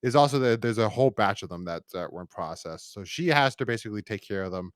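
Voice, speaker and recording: Man, fairly low pitch, casual podcast discussion, rambling, stumbling restarts, dry recording. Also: lively intonation, clear, resonant tone, dry recording. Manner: rambling, halting with frequent false starts and repeated words. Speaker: podcast co-host. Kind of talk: casual review discussion of an audio drama.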